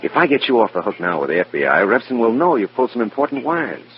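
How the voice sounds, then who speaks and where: An actor playing a wary, dry federal contact in an old radio drama, cautious and matter-of-fact. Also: male voice, medium pitch, sing-song, fast talker, natural voice. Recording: dull, noisy vintage radio recording.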